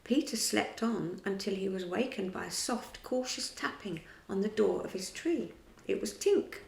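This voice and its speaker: A woman storyteller reading a children's classic aloud, dramatic and dropping to whispers in the tense parts. Her voice has a creaky, gravelly texture. The room is echoey. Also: mid-range voice, animated, moderate pace, a little mellow.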